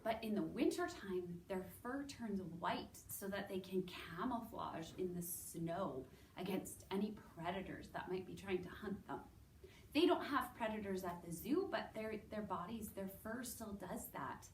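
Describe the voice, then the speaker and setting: A woman with a Canadian accent presenting to young children as a story time host, in an animated way with simple, drawn-out wording. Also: mid-range voice. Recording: roomy, distant mic with background noise.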